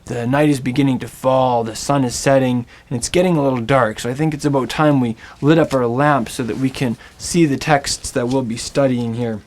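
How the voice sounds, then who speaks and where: Man teaching with slow dramatic pauses. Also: medium pitch, steady tone. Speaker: Biblical Hebrew teacher. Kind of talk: scripted storytelling lesson.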